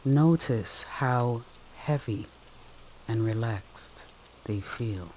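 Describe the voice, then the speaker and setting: Medium-pitched voice, very slow guided meditation, gentle, soothing, long pauses, steady rain behind. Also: slight background noise, poor audio. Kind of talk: guided meditation, soft instructional narration.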